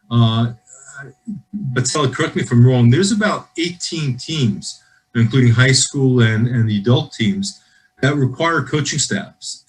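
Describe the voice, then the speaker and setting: A man's voice: medium pitch, rough recording. A man from the football league answering panel questions over a video call, earnest and rambling with frequent restarts.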